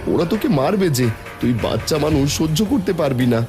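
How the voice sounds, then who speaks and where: A man's voice: on the high side, lively intonation, fairly quick. A man voicing a poor, anxious, guilt-ridden village father in a cartoon, speaking emotively over music. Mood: urgent, protective. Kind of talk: Bengali cartoon fairy-tale dialogue. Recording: music under the voice.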